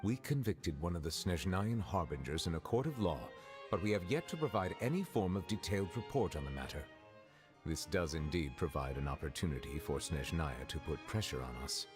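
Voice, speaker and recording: An actor playing a reserved, dignified chief judge in a video game, speaking in a measured, formal, cautious way. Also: male voice, low-pitched, lively intonation, music in the background. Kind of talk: scripted video game acting.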